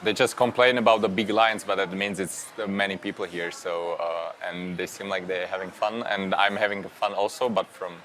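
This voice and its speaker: A man's voice: sits low, steady tone, normal speed, hardly any pauses, a bit bright. A young man, answering hesitantly with many fillers. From Slovakia. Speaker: young journalist. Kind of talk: casual interview answers.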